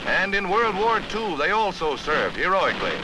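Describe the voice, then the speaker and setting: Lively, high-pitched American newsreel announcer, male. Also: normal speed, heavy background noise. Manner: formal, emphatic, dramatic announcer delivery. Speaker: newsreel narrator. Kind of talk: 1950s newsreel narration, scripted. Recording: vintage newsreel soundtrack with music.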